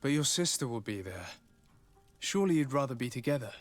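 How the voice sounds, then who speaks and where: Young male diplomat acted by a man, game dialogue, formal, measured, gentle, long reverb tail. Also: medium pitch, sing-song, soft background music, lightly acted. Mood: kind, helpful. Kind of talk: video game voice acting.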